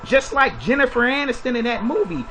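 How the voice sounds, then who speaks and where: High, bright-voiced man lecturing bluntly. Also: expressive, a little fast, a little hiss or hum. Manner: preachy, blunt, lecturing with rhetorical questions. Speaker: commentary video host. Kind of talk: opinionated commentary monologue.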